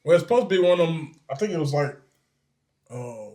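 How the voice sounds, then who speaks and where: A man's voice: fairly high pitch, lively intonation, brisk, dark tone. A man, a co-host chatting loosely with lots of fillers. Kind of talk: casual chat.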